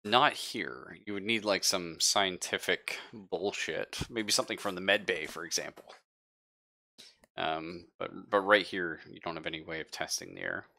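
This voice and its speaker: A man with a very low, forceful voice acts as game master. He improvises narration and rulings in casual, slangy speech and swears freely. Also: steady tone, normal speed, creaky.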